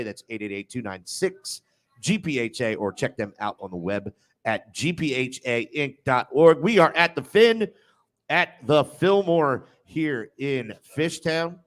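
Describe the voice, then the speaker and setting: A man's voice: mid-range voice, lively intonation, normal speed. A man hosting a casual sports radio show. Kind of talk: casual sports talk with live ad reads.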